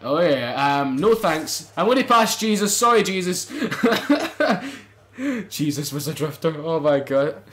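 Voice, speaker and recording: A young man commentating a racing game let's play, excitable and swearing, bursting into loud exclamations. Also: on the high side, slow pace. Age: young adult. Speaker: gaming channel host.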